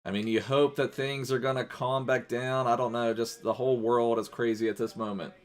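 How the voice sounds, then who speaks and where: A man's voice: mid-range voice, fairly even intonation, a little fast. Chatty male game streamer. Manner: chatty, rambling, greets and thanks viewers. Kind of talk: casual live-stream gaming chat.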